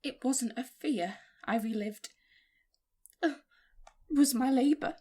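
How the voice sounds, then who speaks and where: Slow-speaking, anxious, pleading mother. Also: high voice, dark tone, breathy edge, heavily acted. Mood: distraught, defensive. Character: anxious, pleading mother fearing for her lost child. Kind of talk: emotional audio drama acting.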